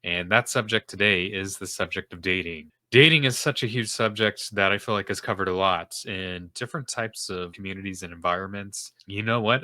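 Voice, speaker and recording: A man's voice: on the low side, pushed and bright, no room sound. A young man hosting a faith-based podcast as a self-esteem coach, talking in an earnest, rambling way with lots of fillers, his nose stuffed up. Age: young adult. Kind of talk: casual solo podcast monologue. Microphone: podcast microphone.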